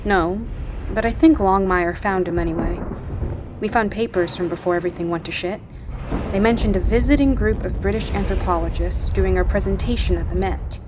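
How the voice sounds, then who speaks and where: An actress playing a tough, defiant American former Marine sergeant in an audio drama, blunt and guarded. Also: female voice, medium pitch, brisk, noisy background, natural voice. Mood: matter-of-fact.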